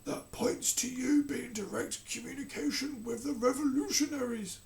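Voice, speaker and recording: A narrator voicing a cold, detached male king who speaks slowly and quietly. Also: high voice, gravelly, pitched above the performer's own voice. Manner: slow, hushed, detached. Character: cold, distant male king under a spell. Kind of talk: storybook character reading.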